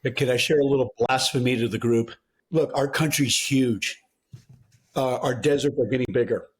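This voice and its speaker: A man's voice: mid-range voice, moderate pace. An American man from New Mexico speaking as a podcast guest, blunt, exasperated and emphatic in an impassioned discussion. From New Mexico, United States. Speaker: guest soil health advocate.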